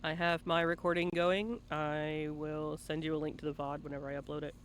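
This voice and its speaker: A man with a lightly high voice hosts a relaxed live interview stream. He banters and teases with casual profanity, sometimes half-singing his asides. There is some noise behind him. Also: fairly quick. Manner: playful teasing, profane banter. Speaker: interviewer and livestream host.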